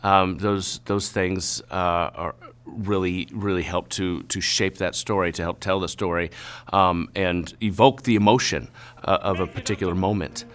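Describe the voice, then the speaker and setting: Low, bright-voiced man, halting and thoughtful. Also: fairly even intonation. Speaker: composer and music arranger. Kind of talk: reflective interview talk.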